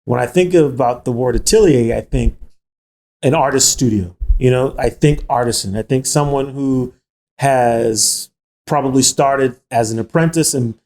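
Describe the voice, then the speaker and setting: A man hosting a podcast interview, mid-pitched and easygoing as he asks his guest questions. He leads the conversation with a relaxed delivery. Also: moderate pace. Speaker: podcast host.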